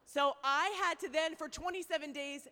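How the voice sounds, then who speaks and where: A woman in her twenties giving a faith talk to a live audience, telling a personal story with animated asides. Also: high voice, moderate pace. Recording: long hall reverb.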